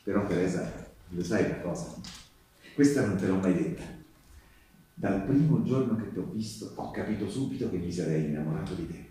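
An actor playing a male wartime partisan in a theatrical monologue, speaking intimately and haltingly to a loved one, with dramatic pauses. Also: low voice, lively intonation, warm tone. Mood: shy, confessional. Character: male wartime partisan tenderly recalling youth in the mountains. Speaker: stage actor performing a monologue. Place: live stage performance, recorded from a distance. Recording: distant microphone in a very echoey room.

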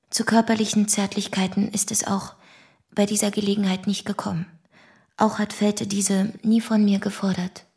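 A woman's voice: mid-range voice, a little mellow, natural voice. An actress playing a sober young woman who gives formal testimony in a radio drama.

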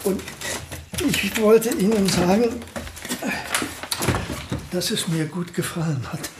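A modest, understated old physicist with a dry, ironic wit in a radio drama. His voice sits somewhat high for a man, and his remarks come out calm and deadpan. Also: slow, noisy background. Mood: wry. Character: wry, modest elderly male physicist visitor. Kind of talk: radio play acting.

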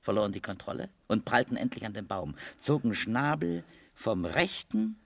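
A methodical police captain in a seventies radio crime drama. His creaky voice moves expressively up and down, formal and insistent, in a dry studio sound. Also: on the low side, very animated, moderate pace, no room sound, heavily acted. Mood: accusing. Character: probing, authoritative male police captain, methodical interrogator. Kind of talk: 1970s German radio crime drama acting.

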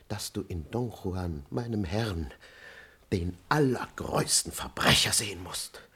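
Casting: a garrulous, indignant servant of a wicked lord for stage-style radio drama; very low, rough-edged voice, theatrical and confiding. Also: male voice. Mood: confiding, grave. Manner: theatrical, emphatic, confiding and pompous. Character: talkative, indignant, cowardly manservant of a wicked lord. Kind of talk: classic comedy radio play acting.

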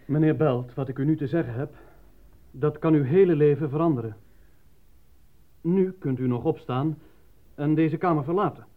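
An actor in a radio play voicing a formal, controlling male official with a measured, solemn and officious manner. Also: on the high side, lightly acted. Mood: grave, ominous. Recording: muffled lo-fi old radio recording.

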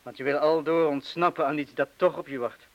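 An actor playing a sceptical, self-deprecating former wartime bomb-disposal man in a radio play, with a measured, understated way of talking. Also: fairly high pitch, steady tone, dry recording, dull audio, lightly acted. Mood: philosophical. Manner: measured, understated, terse replies.

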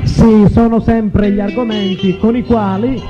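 An actor playing a mocking, theatrical announcer on a satirical radio broadcast. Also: male voice, sits high, little pitch movement, measured pace, muffled recording. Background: music.

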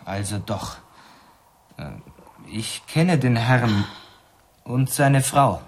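An educated professor, troubled and inquisitive. He talks slowly and hesitantly at middling pitch, in a radio play recording with some noise. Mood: probing. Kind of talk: German radio drama acting.

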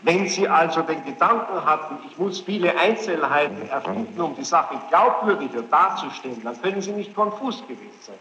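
A man questioning a witness as a judge in formal, halting German phrasing, insistent and reproachful. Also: a little high, expressive, bright, forceful tone. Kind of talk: courtroom interrogation, spontaneous formal speech. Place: large echoing courtroom. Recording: dull, noisy archive courtroom tape, echoey hall.

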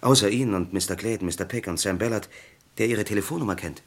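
An actor playing an anxious, desperate man accused of murder in a German radio crime drama, with a gravelly, husky voice. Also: lowish voice, quick pace. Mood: anxious, urgent.

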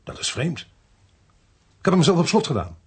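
A man playing a dry, watchful police inspector in a radio drama, guarded and businesslike, with a husky voice and terse delivery. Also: on the high side, sing-song, moderate pace, full character voice. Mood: dry, suspicious. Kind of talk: radio drama acting with first-person narration.

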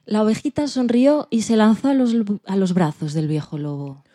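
A woman's voice: mid-range voice, sing-song, normal speed, dark tone. A woman with an accent from Spain, narrating expressively as a podcast storyteller. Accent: Spanish (Spain).

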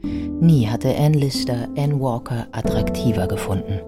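A man narrating a documentary radio drama from a script in a measured, literary storytelling manner. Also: mid-range voice, loud music behind the voice.

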